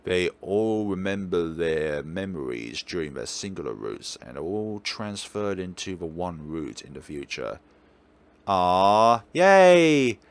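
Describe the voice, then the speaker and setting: A man hosting a let's play, chatting through a visual novel in an animated way. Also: low voice, slow, smooth.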